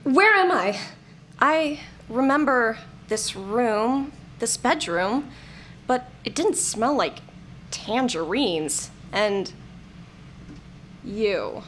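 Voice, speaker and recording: Sardonic, higher-voiced dead woman. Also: animated, extremely slow, pauses often, fairly bright tone, a little hiss or hum, full character voice. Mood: dazed. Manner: sarcastic, dry. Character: sardonic, exasperated dead woman, uneasy with old flame. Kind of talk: naturalistic scripted audio comedy drama acting.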